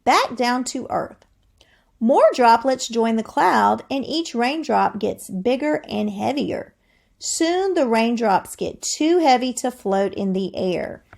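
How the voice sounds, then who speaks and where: A woman with a fairly high voice and noticeable creak reads aloud like a teacher. She takes her time, explaining things to the listener in an instructive way. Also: lively intonation, slow pace, darkish tone. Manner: instructive, asks the listener questions. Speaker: teacher reading a science book aloud. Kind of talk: educational read-aloud with explanatory asides.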